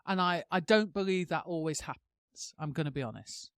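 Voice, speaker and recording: A woman hosts a podcast interview and asks her guest questions in a direct, conversational way. Her voice is smooth and clear, at an ordinary pitch for a woman, and sounds dry and close to the mic. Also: lively intonation, normal speed, dry recording. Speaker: podcast host. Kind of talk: interview host.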